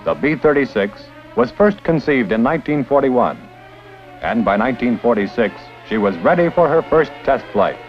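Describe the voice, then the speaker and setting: A man's voice: medium pitch, big pitch swings, normal speed. Old-timey newsreel guy narrating, proud, really swoopy voice, muffled film sound w/ music. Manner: proud, declamatory. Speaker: documentary narrator. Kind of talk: scripted 1950s newsreel narration.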